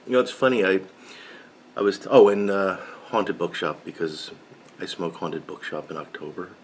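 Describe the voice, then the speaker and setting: A man's voice: low voice, animated, normal speed, a little hiss or hum. A middle-aged man chatting casually to camera from his home workshop, rambling and often correcting himself. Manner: rambling and self-correcting, with frequent fillers. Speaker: pipe-smoking woodworking channel host. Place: home workshop with pegboard of tools.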